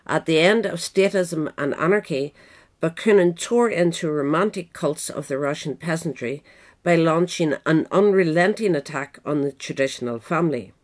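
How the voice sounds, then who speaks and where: A woman's voice: low-pitched, moderate pace. A woman reading scripted nonfiction narration as an audiobook reader. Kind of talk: scripted narration of a political history essay.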